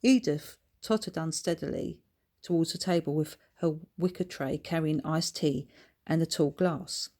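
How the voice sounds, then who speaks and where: Female author-narrator for a children's book, slightly low voice, measured scripted storytelling. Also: warm tone.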